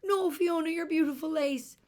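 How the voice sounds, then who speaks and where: Woman performing a little girl, high-pitched, shrill, frightened, pleading, tearful, children's storybook character. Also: normal speed, a little mellow. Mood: panicked, tearful. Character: frightened, sobbing little girl, hysterical younger sister. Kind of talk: children's storybook read aloud.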